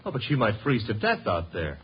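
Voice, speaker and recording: Lively low-voiced wisecracking detective's legman. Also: husky, exaggerated voice. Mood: flippant banter. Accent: American. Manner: breezy, teasing, quick with quips. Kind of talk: 1950s radio drama acting. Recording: muffled, noisy old radio recording.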